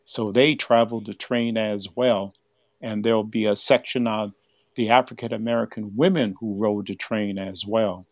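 Older male curator as interview guest, fairly low voice, slightly muffled sound. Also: steady tone, normal speed, no echo. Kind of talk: explanatory interview talk.

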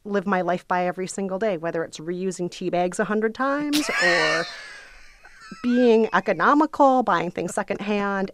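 A woman's voice: mid-range voice, no echo, clean studio sound. A woman chatting casually as an interview guest, sharing a personal anecdote. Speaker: podcast host as interview guest.

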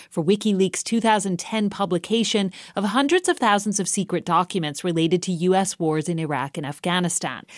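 A woman gives a scripted radio news report. Her pitch sits a little low and the recording is close and dry. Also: no room sound.

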